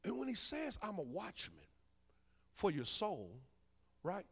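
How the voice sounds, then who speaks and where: A man, preaching a sermon as minister. He swings between loud bursts and soft asides, teasing his congregation. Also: high voice, huge pitch swings, measured pace, gravelly, husky. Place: large church sanctuary. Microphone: handheld mic. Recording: long church hall reverb.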